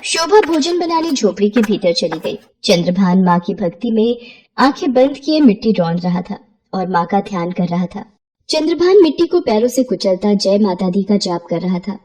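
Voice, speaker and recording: A woman narrating a scripted bedtime moral story in an expressive storytelling manner. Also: medium pitch, moderate pace, low-quality recording.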